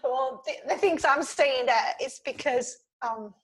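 A woman's voice: high-pitched, measured pace, slight background noise, low-quality recording. A woman from Italy with an Italian accent, answering interview questions in a reflective, conversational way with self-deprecating humour. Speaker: guest, leadership coach.

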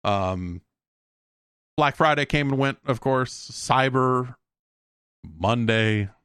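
A man's voice: medium pitch, slow pace, a bit bright, smooth, no room sound. A man in his late forties hosting a podcast alone, rambling casually with frequent swearing and self-deprecating comic asides, close on the microphone. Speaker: podcast host.

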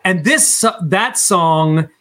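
A man's voice: fairly high pitch, expressive, extremely slow, bright, forceful tone. A man in his fifties, a band singer, chatting casually and enthusiastically in an interview with emphatic delivery. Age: 50s.

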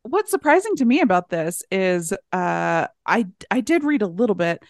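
Woman with lively intonation, chatting casually. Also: medium pitch, moderate pace, no room sound, studio quality. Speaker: podcast co-host. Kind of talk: casual chat.

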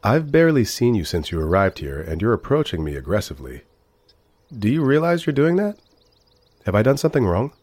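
Very deep, mellow, formal robot companion. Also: male voice, big pitch swings, dry recording. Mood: politely affronted. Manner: measured, formal, philosophical. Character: emotional, polite male AI robot companion, introspective and easily slighted. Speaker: narrator and character in a sci-fi audio drama. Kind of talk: scripted audio drama narration and dialogue.

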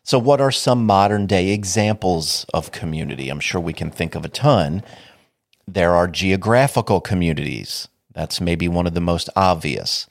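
A man's voice: deep voice, expressive, normal speed, vocal fry, no room sound, clean studio sound. A man hosting a podcast alone, talking casually and wryly, a bit sniffly from allergies. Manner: wry, casual and informal. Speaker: podcast host. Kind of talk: solo podcast monologue.

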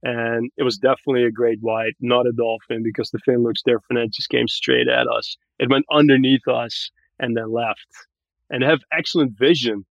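A man's voice: mid-range voice, fairly even intonation, normal speed, dry recording, muffled recording. A man from Barbados chatting casually as a podcast guest, explaining things in an articulate way. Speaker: podcast guest, tech growth manager. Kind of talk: casual interview chat.

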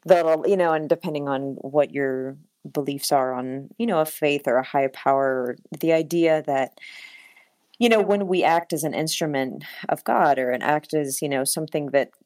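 A woman's voice: sits low, moderate pace, darkish tone, no room sound. An American woman talking reflectively in a casual interview, with many fillers and laughs. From United States. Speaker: singer-songwriter guest.

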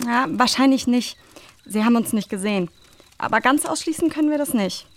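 A woman playing an excitable yet cautious girl in a children's adventure audio drama. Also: on the high side, a little fast, lightly acted. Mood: wary.

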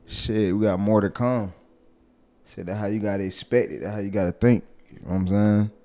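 A soft-spoken man with a Southern US accent, chatting casually as a podcast guest. His voice sits a bit low and stays gentle. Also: fairly even intonation, fairly quick. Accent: Southern US, Atlanta area. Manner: soft-spoken, says he has a soft voice. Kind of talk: casual chat.

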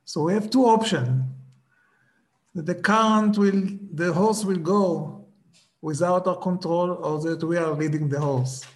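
A man gives a reflective talk in a fairly high voice. He speaks slowly and haltingly, restarting phrases as he searches for the right English words. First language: Hebrew.

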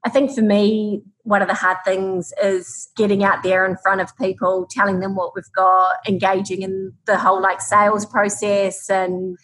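A woman's voice: mid-range voice, flat delivery, moderate pace, few pauses, fairly bright tone, rough recording. A woman with a New Zealand accent, answering conversationally as an interview guest who farms and founded a tech business.

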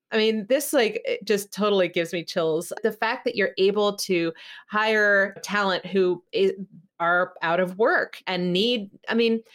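Enthusiastic, emphatic woman podcast host. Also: mid-range voice. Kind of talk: casual conversational interview.

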